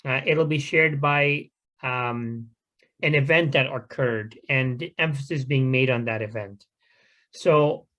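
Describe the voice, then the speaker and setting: Man speaking earnestly and deliberately. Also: mid-range voice, fairly bright tone. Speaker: guest speaker at a community talk. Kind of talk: unscripted informational talk.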